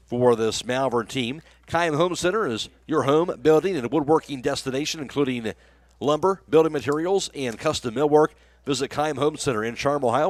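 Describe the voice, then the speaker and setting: American guy doing baseball play by play on the radio, average pitch, pretty dry sound. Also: no echo. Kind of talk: live baseball play-by-play commentary. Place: live radio broadcast from a high school baseball game.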